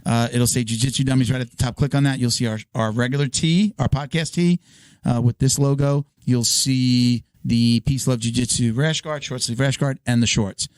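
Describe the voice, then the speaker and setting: Hoarse podcast host reading ads. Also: male voice, mid-range voice, steady tone, moderate pace, a little mellow, no echo. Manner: stumbling, filler-heavy ad reads. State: hoarse, losing his voice.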